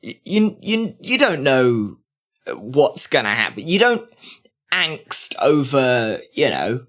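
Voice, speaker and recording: This man is a podcast co-host with a very animated, up-and-down delivery. His pitch sits in the middle, and he argues emphatically in stop-start phrases. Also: slow pace. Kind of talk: podcast discussion.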